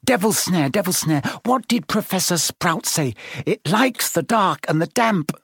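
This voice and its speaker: A man voicing a bossy, anxious, quick-thinking schoolgirl witch in a storybook reading, with urgent, snappish delivery. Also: fairly high pitch, very animated, vocal fry, breathy edge, no room sound, clean studio sound, voice pushed up, exaggerated voice.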